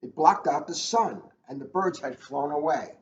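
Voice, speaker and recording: High-voiced man reading a storybook, echoey room. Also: animated, normal speed. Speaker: police officer reading a children's book. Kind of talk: read-aloud storytelling with casual asides. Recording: echoey room, dull lo-fi, faint noise.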